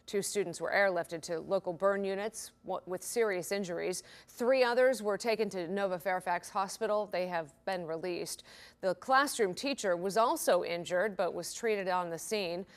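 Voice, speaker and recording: Female news anchor, American, mid-pitched, scripted TV newscast delivery. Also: moderate pace.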